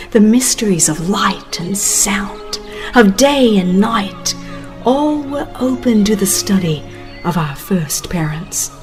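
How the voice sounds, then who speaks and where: Slow, reverent woman narrator over music. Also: on the high side, darkish tone. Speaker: audiobook narrator. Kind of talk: dramatized scripted narration of a religious text.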